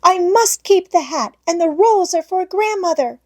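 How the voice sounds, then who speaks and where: A woman's voice: extremely high pitch, animated, normal speed, darkish tone, pitched above the performer's own voice. A woman voicing an earnest, homesick little orphan girl in a storybook, pleading and plaintive. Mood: desperate.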